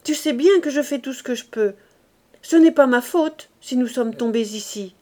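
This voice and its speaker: A woman's voice: on the high side, slow pace, mellow. A woman voicing a young, distressed, worn-out mother who pleads and argues with rising emotion. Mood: indignant.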